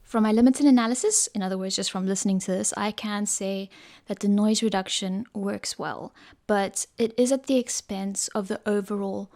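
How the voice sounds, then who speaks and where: A woman's voice: mid-range voice, steady tone, normal speed, a little mellow. A woman casually reviewing desk microphones from a small home room, chatty and a little scatterbrained, still recovering from being ill, talking close to a condenser mic. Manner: chatty, self-correcting, a bit scatterbrained. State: recovering from illness. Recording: mic tests with varying reverb and noise.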